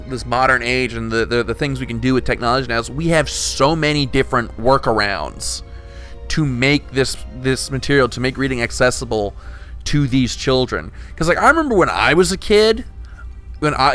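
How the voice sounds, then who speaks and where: A man's voice: medium pitch, fairly bright tone. A young man hosting a casual podcast, talking in rambling, restart-heavy stretches with some swearing. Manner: rambling, full of restarts and profanity. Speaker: podcast host.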